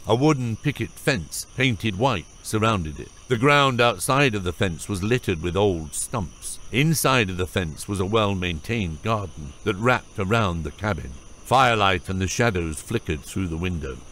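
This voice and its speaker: A man's voice: lowish voice, animated, moderate pace, hardly any pauses, fairly bright tone, a little hiss or hum. A man narrating a fantasy audiobook from a script.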